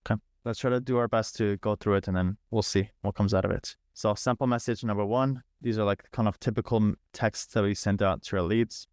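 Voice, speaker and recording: A man's voice: fairly low pitch, steady tone, fairly quick, hardly any pauses, darkish tone, no room sound, clean studio sound. A man leading a live online training, talking informally through setup steps, repeating himself and thinking aloud. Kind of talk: casual live screen-share walkthrough. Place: live online video call.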